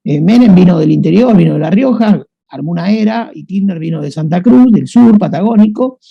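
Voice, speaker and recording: A man's voice: sits high, lively intonation, brisk, vocal fry, husky. A man from Buenos Aires with a Rioplatense accent, speaking as a guest in a casual interview, rambling with many restarts and fillers. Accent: Rioplatense Spanish. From Buenos Aires, Argentina. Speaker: guest writer. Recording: dull lo-fi sound.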